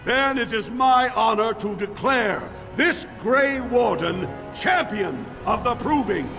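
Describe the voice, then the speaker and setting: An actor playing a proud master of arena combat in a video game, declaiming formally and grandly in an echoey hall. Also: male voice, very high-pitched, lively intonation, slow pace, creaky, bright, forceful tone, heavily acted. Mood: proclaiming. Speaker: arena announcer. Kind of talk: formal ceremonial proclamation. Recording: echoey hall, faint music behind.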